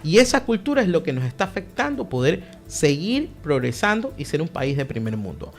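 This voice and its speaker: Emphatic man, lively pitch. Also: a little fast, faint music behind. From Ecuador. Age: young adult. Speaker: political guest, party director. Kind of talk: radio interview answer.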